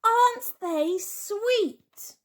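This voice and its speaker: A woman's voice: very high-pitched, drawn-out words, pitched above the performer's own voice, exaggerated voice. A woman voicing a gushing adult pig in a children's storybook, cooing in a sing-song way. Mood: adoring.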